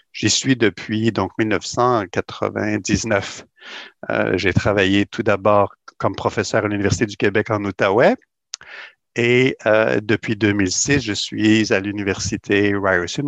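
A middle-aged Québécois man talks in a low, gravelly voice, recorded dry and close. He explains his work at an unhurried pace, full of hesitations and repeated words. He sounds like a university administrator being interviewed on radio. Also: no echo, lo-fi sound. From Quebec. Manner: hesitant, many "euh" fillers and repeated words.

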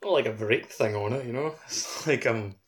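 A man's voice: medium pitch, normal speed, slightly rough. A man chatting casually as a co-host on a gaming podcast.

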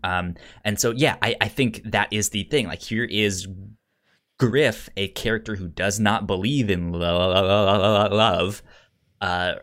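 A man co-hosting a casual film discussion, thinking aloud haltingly, with a stutter that repeats syllables. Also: lowish voice, fairly bright tone. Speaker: podcast co-host discussing a film.

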